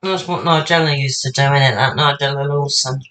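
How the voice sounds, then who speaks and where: Tired, husky older Englishwoman cooking. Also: very deep voice, steady tone, a little fast, fairly bright tone. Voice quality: slightly husky. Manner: chatty, rambling, talks to herself while working. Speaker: home cook. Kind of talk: casual cooking demonstration.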